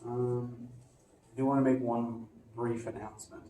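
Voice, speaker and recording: A man's voice: medium pitch, unhurried, a little hiss or hum. A male physics professor lecturing casually to a class, sniffly from allergies, with many fillers and restarts, recorded on a phone across the room. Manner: relaxed, chatty, with frequent fillers and restarts. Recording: echoey classroom, distant phone mic.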